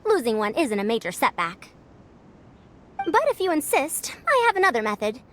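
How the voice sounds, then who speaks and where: A proud female scholar from a video game, high-pitched and very expressive. She talks formally, with a lofty, magnanimous confidence. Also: normal speed, a little hiss or hum. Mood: self-assured. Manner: self-assured, lofty, formal. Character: proud female senior scholar, youthful-sounding, brisk, magnanimous madam.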